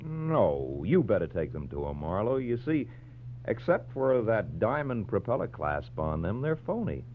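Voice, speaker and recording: A man acting a gruff, shrewd homicide lieutenant in a 1940s radio drama, with terse deadpan replies. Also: lowish voice, measured pace, slight background noise. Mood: dry, knowing. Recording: muffled, humming 1940s radio recording.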